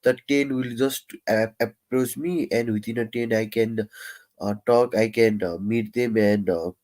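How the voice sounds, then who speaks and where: A young man talks slowly and thoughtfully on a live stream, in a mid-range voice. He stammers now and then and keeps stopping to restart his sentences with fillers. The delivery is unhurried and candid, like thinking out loud. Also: medium pitch, steady tone. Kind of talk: casual reflective live-stream monologue.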